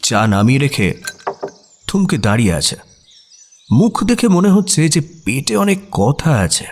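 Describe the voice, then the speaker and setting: A man's voice: medium pitch, sing-song, measured pace. A man playing the detective's curious, amused companion, narrating a scripted radio mystery story in a dramatic style.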